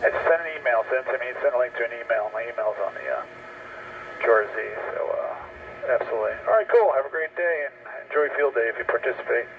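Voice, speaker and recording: A man's voice: mid-range voice, quick pace. A man, a helicopter pilot, chatting casually over two-way ham radio. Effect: two-way radio transmission. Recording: muffled, noisy UHF radio from helicopter.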